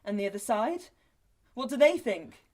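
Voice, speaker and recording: A woman's voice: on the high side, lively intonation, moderate pace, darkish tone. An actress playing an uneasy, frightened young woman pleading to get away in an audio drama. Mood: desperate, demanding. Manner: tense, swearing when pushed. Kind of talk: naturalistic audio drama acting.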